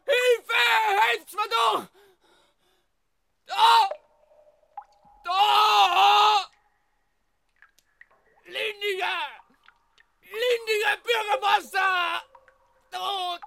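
An actor in a radio drama playing a desperate, frantic man with a Bavarian accent, crying out in strained, drawn-out shouts. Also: very high voice, steady tone, gravelly, full character voice. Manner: rustic, cursing, drawn-out cries. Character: desperate man screaming for help, rough-spoken, frantic.

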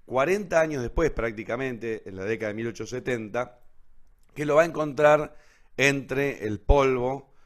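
A man's voice: mid-range voice, normal speed. A man with an Argentine accent presents a literature segment in an informal lecturing style. He is didactic, stressing and repeating the important points. He often checks in with short tag questions as he explains. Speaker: literature presenter on a radio theatre programme.

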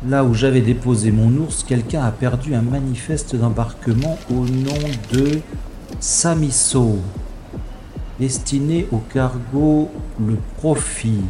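A man plays a stubborn secret agent in a sci-fi audio drama. He speaks very slowly in a mid-range voice, dry and sardonic, with music clearly behind him. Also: mid-range voice, close to an everyday voice. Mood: rueful, wry. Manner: sardonic, deliberate first-person narrating. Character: wry, stubborn male special agent in space. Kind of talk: science-fiction audio drama acting and narration.

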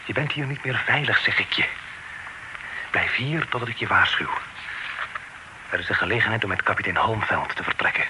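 An actor playing a concerned, authoritative gentleman in a vintage radio play, formal and urgent as he pleads for caution. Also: on the low side, animated, brisk, long pauses, close to an everyday voice. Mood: urgent warning. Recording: noisy old radio drama recording.

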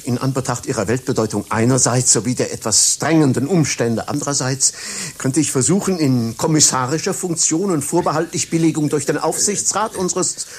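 An actor playing an officious, harried corporate negotiator in a satirical radio play, bureaucratic, impatient and commanding. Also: male voice, mid-range voice, brisk, a little mellow, slightly rough, close to an everyday voice. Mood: strained politeness.

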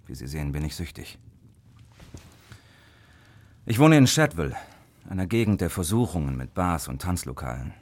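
An actor playing a wary, greedy Victorian opium addict confiding secrets in a radio crime drama, with theatrical, deliberate emphasis. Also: male voice, rumbling low, darkish tone. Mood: bitter confession.

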